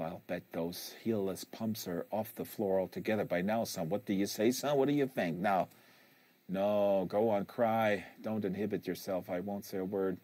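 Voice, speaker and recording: A man voicing a bitter, rambling father who goads his tearful son in a halting monologue from a novel. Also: on the low side, darkish tone, lightly acted. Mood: needling, muted scorn. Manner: halting, repetitive, goading. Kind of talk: dramatic novel reading, monologue.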